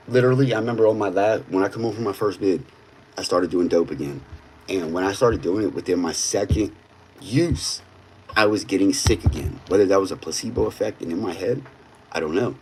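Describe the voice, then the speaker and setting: A man in his thirties talks straight to his livestream viewers, with plenty of "bro" and "man". His voice sits low. A faint hum of background noise runs under the recording. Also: darkish tone, low-quality recording. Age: 30s. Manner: blunt, streetwise, peppered with "bro". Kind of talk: casual livestream chat.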